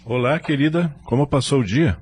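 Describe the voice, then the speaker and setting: A man's voice: medium pitch, moderate pace, darkish tone, a little hiss or hum, lightly acted. An actor playing a middle-aged father and husband, authoritative yet affectionate and a little weary, in an audio drama. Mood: affectionate, concerned.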